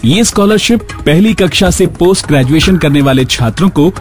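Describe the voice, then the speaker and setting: Guy announcer reading script, lively voice swings, clear music behind him. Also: on the high side, moderate pace. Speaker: radio announcer and news reader.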